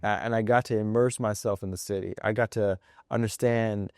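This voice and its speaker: A man's voice: fairly low pitch, fairly even intonation, a little fast, darkish tone, dry recording, clean studio sound. Earnest man from suburban Chicago. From Chicago suburbs, USA. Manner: earnest, restarts a lot, says "yo" and "of course". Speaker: guest, fashion designer. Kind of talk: casual interview chat. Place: studio.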